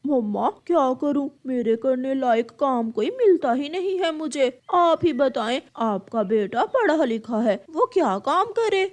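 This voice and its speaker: A woman's voice: sits high, lively intonation, a little slow, few pauses. A woman voicing a lazy, picky young male crow in a cartoon story, whiny and dismissive. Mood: whining. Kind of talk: cartoon story character dialogue.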